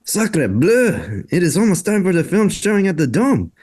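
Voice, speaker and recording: Guy doing an eager excitable french gent for a script read, kinda high, very up and down. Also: moderate pace, husky, exaggerated voice. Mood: urgent, excited. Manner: exclaims in French phrases, urging others along.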